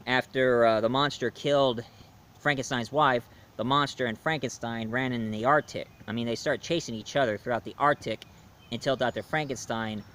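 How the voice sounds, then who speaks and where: A man reviewing a film in a casual, animated way, retelling the plot and acting out scenes as he goes. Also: mid-range voice, a little hiss or hum. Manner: animated storyteller, acts out scenes.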